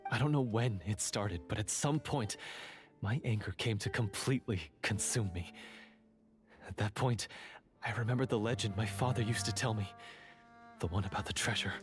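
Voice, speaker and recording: A man voicing a troubled, bitter male treasure hunter in a video game, speaking in a dramatic, halting way. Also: fairly low pitch, music in the background, close to an everyday voice. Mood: shaken.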